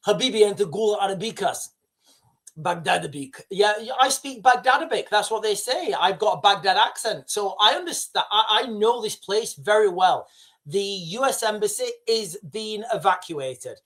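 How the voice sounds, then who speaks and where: A middle-aged man hosting a casual news livestream, talking straight to his viewers with many restarts and asides. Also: sits high. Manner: excitable, addresses viewers directly, rambling with restarts.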